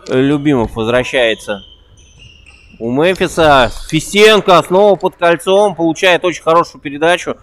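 A young man giving quick, excitable play-by-play commentary on an amateur basketball game, repeating a catchphrase. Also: a little high, a little hiss or hum. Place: indoor basketball gym.

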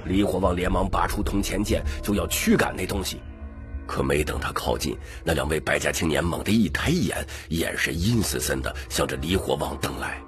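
A man's voice: low voice, expressive, moderate pace, soft background music. A man narrating scripted fantasy fiction dramatically as an audiobook reader.

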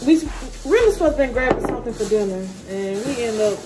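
A playful young woman talks slangy and loose to a camera on her kitchen counter. Her voice sits a bit high. The room rings with echo, and loud music plays underneath. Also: expressive, quick pace. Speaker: vlog co-host. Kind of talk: casual chat to camera. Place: home kitchen, indoors.

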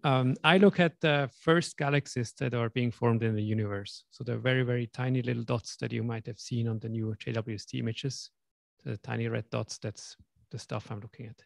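A man from Switzerland gives a relaxed panel introduction about his research. His mid-range voice has a gravelly edge. The sound is dry. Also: medium pitch, a little fast, dry recording. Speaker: astronomer panelist. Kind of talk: brief self-introduction. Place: lecture room, indoors.

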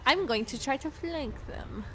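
A woman's voice: sits high, animated, moderate pace, background noise. A young woman playing a video game and chatting bluntly with a friend, swearing often and laughing. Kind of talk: casual gameplay chat.

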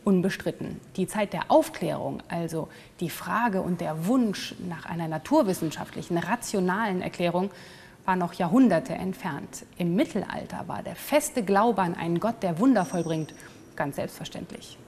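A woman in clear, explanatory scripted narration, also asking questions as interviewer. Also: fairly low pitch, lively intonation, moderate pace, darkish tone, a little hiss or hum.

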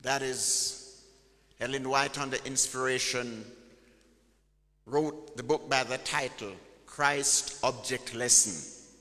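A man's voice: medium pitch, steady tone, slow pace, pauses often, pushed and bright. A man preaching a sermon in a church, emphatic and call-and-response, drawing out his key words. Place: large church hall. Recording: long church hall reverb.

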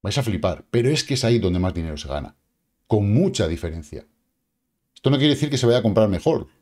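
A man's voice: fairly low pitch, expressive, fairly quick, darkish tone. A middle-aged man with a Spain Spanish accent, talking casually to camera about the crypto market, joking and addressing his viewers directly. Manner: chatty, jokey, addresses viewers directly with asides and repeated "vale". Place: small indoor studio with purple lighting.

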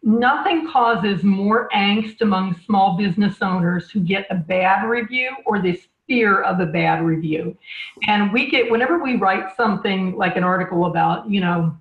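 A woman in her fifties speaks at medium pitch, measured and modest. The video-call audio is thin and phone-like, with clear room echo. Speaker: guest business publication executive. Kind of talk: casual interview answer.

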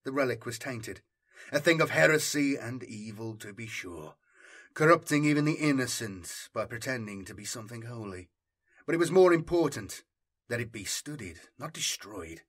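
A man narrating a science fiction story in a dramatic, measured and deliberate way. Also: medium pitch, lively intonation, fairly bright tone, husky. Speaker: audiobook narrator and channel host.

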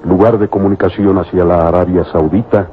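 An actor as the narrator of an old adventure radio serial, storytelling in a solemn, measured, declamatory way. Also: male voice, low-pitched, steady tone, narrow, tinny audio, a little hiss or hum, lightly acted. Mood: evocative.

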